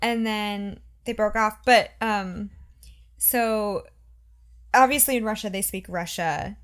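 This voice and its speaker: A woman's voice: medium pitch, takes it slowly, clear, resonant tone. A woman co-hosting a podcast, casual and giggly in her chat. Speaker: podcast co-host.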